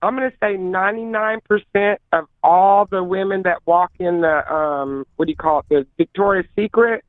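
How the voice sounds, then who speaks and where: A woman on a call, talking emphatically in casual chat and often repeating herself, with a lower-register voice. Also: normal speed, no room sound. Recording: tinny phone line, dry.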